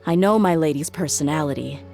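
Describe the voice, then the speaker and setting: A woman playing a level-headed, loyal young female mercenary in a video game, speaking in a frank, measured way. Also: low voice, gravelly, over music. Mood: sober, reasoning. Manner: measured, reasoning aloud, frank.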